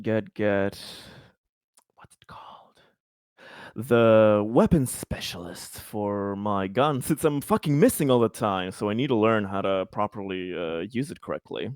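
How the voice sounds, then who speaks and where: A man's voice: fairly low pitch, lively intonation, unhurried, no room sound, studio quality. A man chatting casually as a player in a tabletop roleplaying game, wordy and jokey, swearing freely.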